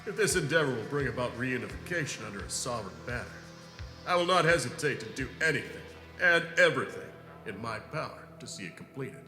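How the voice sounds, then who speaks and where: A man's voice: mid-range voice, expressive, fast, pushed and bright. A man playing a loyal, earnest security chief in a science fiction audio drama, formal and deferential. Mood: fervently loyal. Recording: echoey hall reverb, music underneath.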